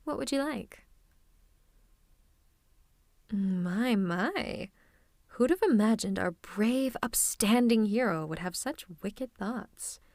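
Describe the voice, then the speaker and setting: A woman's voice: medium pitch, lively intonation, slow, long pauses, a little mellow, no echo, studio quality. A woman playing a playful female supervillain, affectionate and teasing with theatrical menace, in an ASMR roleplay. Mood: sultry, teasing. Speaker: voice actor. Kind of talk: ASMR roleplay acting, one-sided conversation.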